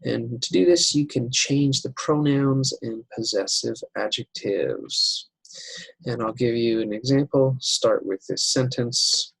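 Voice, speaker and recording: A man teaching an English writing lesson over slides, unhurried and step by step, with many fillers. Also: medium pitch, gravelly, husky, no echo, low-quality recording.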